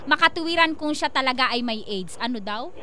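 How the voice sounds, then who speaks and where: A woman's voice: high-pitched, lively intonation, fairly quick, crowd noise. A woman with a Filipino accent co-hosting a radio show in offhand, teasing chat. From Philippines. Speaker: radio co-host. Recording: noisy radio broadcast recording.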